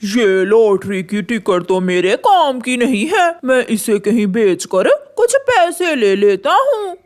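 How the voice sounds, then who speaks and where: A woman voicing a male crow husband in a children's cartoon, dismissive and excitable, loud and scoffing. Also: on the high side, slow. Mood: casual.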